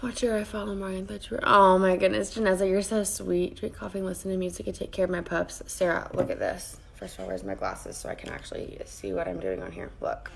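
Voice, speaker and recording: A woman with a raspy voice, chatting casually with her viewers while she does her makeup at her vanity at home. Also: mid-range voice, expressive, fairly quick, few pauses, slight background noise. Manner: chatty, greets viewers by name and rambles while working. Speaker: makeup vlogger. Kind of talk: casual live-streamed makeup tutorial. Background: faint background music.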